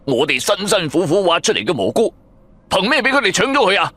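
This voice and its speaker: A man's voice: high-pitched, a little fast, slightly rough, no echo, voice pushed up, full character voice. A man voicing an indignant, mouthy young male sidekick who whines and protests in a Cantonese radio drama. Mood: scornful, aggrieved. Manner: whining, animated, protesting.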